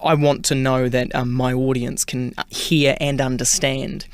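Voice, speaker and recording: A man's voice: mid-range voice, flat delivery, measured pace, clean tone. A man from New Zealand with a New Zealand accent, chatting as a singer guest in a radio interview, with many fillers and restarts.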